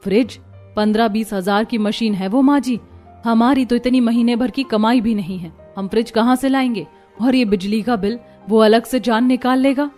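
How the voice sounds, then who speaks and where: A woman's voice: a little high, moderate pace, darkish tone, soft background music, natural voice. A woman voicing a hard-pressed young village daughter-in-law in a cartoon, plaintive and respectful. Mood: distressed, plaintive.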